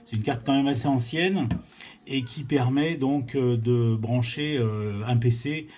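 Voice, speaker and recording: A man's voice: mid-range voice, unhurried, darkish tone, soft background music. A man from France hosting an informal amateur radio tutorial, explaining technical things hesitantly and often repeating himself.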